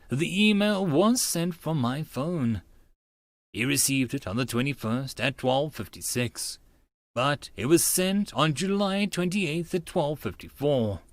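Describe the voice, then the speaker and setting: A man's voice: mid-range voice, expressive, measured pace, no room sound. A man narrating a visual novel read-along, reading scripted story text with casual asides.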